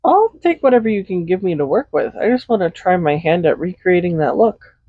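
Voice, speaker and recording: A woman playing a doting, possessive villain in an intimate roleplay audio, speaking softly and without hurry in a gently teasing manner. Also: lowish voice, expressive, no room sound. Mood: curious, fond. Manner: soft-spoken, unhurried, gently teasing. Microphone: Blue Yeti USB microphone, close. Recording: slightly dull home microphone recording.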